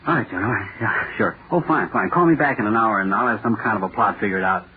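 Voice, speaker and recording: An actor playing a nervous, overworked male author in 1940s radio drama, halting and muttering to himself. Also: fairly low pitch, close to an everyday voice. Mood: weary, wry. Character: jittery, overworked male horror-play author, restless and self-doubting. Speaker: radio playwright dictating a script. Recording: scratchy, noisy, tinny old radio broadcast.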